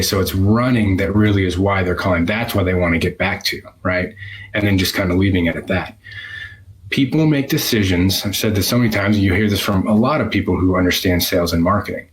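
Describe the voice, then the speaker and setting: A man's voice: low-pitched, a little fast, poor audio. A man giving a conversational instructional talk as a business coach, emphatically repeating his key phrases. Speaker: business coach for physical therapists.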